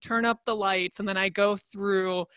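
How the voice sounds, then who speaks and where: A woman comedian and science communicator doing stand-up from home on a video call, chatty and upbeat with quick asides. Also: mid-range voice, fairly even intonation, fairly bright tone, smooth, no echo. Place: home during quarantine. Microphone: computer mic on a video call.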